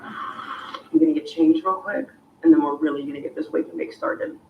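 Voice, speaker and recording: A woman from the Middle East talking chattily and upbeat in a morning vlog, her voice slightly rough and scratchy. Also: low-pitched, fairly even intonation, moderate pace, recorded from a distance, dull audio. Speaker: lifestyle vlogger. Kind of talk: casual morning vlog talk to camera. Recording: roomy with faint background noise.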